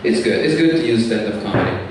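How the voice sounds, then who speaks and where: Italian-accented comic on an echoey stage. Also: male voice, lively intonation, quick pace, recorded from a distance. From Italy. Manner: loose, teasing, swings into high mocking voices. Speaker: stand-up comedian. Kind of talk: stand-up comedy set. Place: comedy club stage. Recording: echoey live room, miked from afar, hall noise.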